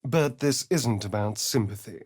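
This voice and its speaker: An actor playing a sardonic, preening vampire elf rogue in a video game, with an arch, theatrical, drawling delivery. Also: male voice, fairly low pitch, unhurried, darkish tone, slightly rough, no echo, clean studio sound. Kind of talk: video game character dialogue.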